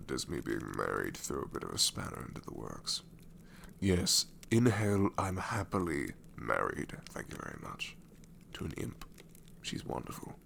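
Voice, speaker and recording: A man voicing a gravelly sassy shadow demon. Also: low voice, a bit bright, a little hiss or hum, exaggerated voice. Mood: sarcastic. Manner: sarcastic, drawling, drops into whispers. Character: sassy married male shadow demon, grumbling but soft. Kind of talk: ASMR roleplay audio.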